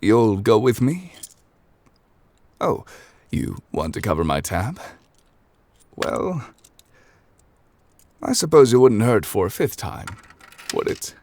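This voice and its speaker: A calm, scholarly ancient god, as a man puts him on for an ASMR roleplay. He talks with very deep, gravelly gravitas and unhurried, formal phrasing. The voice stays close to the microphone and sometimes drops to soft near whispers, in a dry room. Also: no echo, exaggerated voice. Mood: gently amused. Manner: formal, measured, old-fashioned phrasing.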